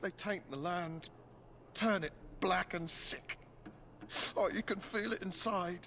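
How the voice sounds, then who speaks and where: A wounded madman of a fantasy game, raving in fear. The voice is gravelly and slow, with a sharp, forceful edge. He halts ominously as he speaks. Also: sits high, slight background noise, full character voice. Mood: haunted raving. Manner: halting, ominous, fearful raving. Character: wounded, terrified madman raving about approaching doom.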